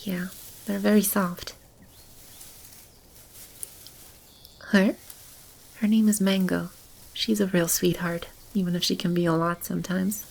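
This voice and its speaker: Woman playing a gentle, creaky-voiced female demon. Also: on the low side, flat delivery, moderate pace, rough recording. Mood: warm, fond. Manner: soothing, tender, intimate and reassuring. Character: gentle, caring female demon sheltering animals and a lost child. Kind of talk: ASMR roleplay, soft-spoken with stretches of whispering. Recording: clearly audible ambient animal and bird sounds. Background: animal and bird ambience.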